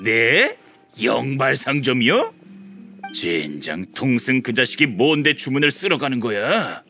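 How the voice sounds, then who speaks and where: A grudge-bearing, scheming merchant from a video game. His voice is bright and forceful, leaping around in pitch with theatrical exaggeration. Music plays behind him. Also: sing-song, measured pace, exaggerated voice. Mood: indignant, envious. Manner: exaggerated, theatrical, swinging between fawning and indignant. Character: grudge-bearing male shopkeeper, excitable, scheming, envious of rival. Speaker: game character voice actor. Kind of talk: animated video game voice acting.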